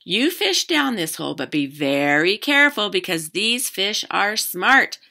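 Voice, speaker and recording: A woman voicing an earnest, protective father in a storybook, giving emphatic, drawn-out warnings. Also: mid-range voice, very animated, vocal fry, sharp tone, pitched above the performer's own voice, exaggerated voice. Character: earnest, protective father, adult man, warning his daughter.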